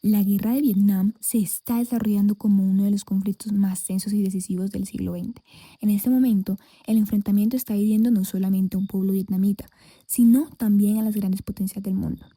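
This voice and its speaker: A woman playing a grave news reporter, with husky, breathy, formal scripted narration. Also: medium pitch, fairly even intonation, brisk, mellow, no room sound.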